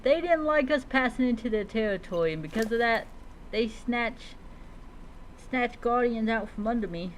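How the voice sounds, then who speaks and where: Woman role-playing over clear background noise. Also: a little high, animated, moderate pace, poor audio. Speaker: streamer role-playing as a dragon rider. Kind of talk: in-character game roleplay storytelling, talking to pet creatures.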